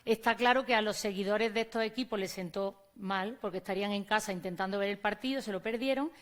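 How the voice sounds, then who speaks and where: A woman's voice: mid-range voice, fast. A woman from Córdoba with an Andalusian accent, a teacher giving a conference talk and speaking to the audience in a conversational way. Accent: Andalusian Spanish. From Córdoba, Spain. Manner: conversational, addresses audience directly. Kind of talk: conference presentation. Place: conference venue on stage.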